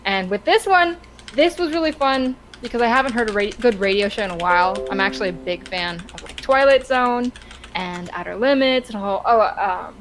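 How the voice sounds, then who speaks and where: Chatty woman, medium-pitched voice. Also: a little hiss or hum, poor audio. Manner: chatty, rambling, enthusiastic storytelling. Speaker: co-host of a talk stream. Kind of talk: casual chat.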